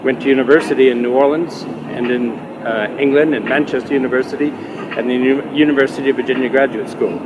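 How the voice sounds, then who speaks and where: A man's voice: mid-range voice, fairly even intonation, fast. A man who works in construction, steadily listing places he has lived in a documentary interview. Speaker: construction industry professional. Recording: dull lo-fi sound with street noise.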